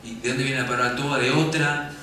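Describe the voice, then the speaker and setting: A man's voice: mid-range voice, fairly even intonation, brisk, crowd noise. A man, speaking earnestly on a panel after a film screening. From Argentina. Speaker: documentary filmmaker on a panel. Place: festival screening hall. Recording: very echoey hall, distant mic, background noise.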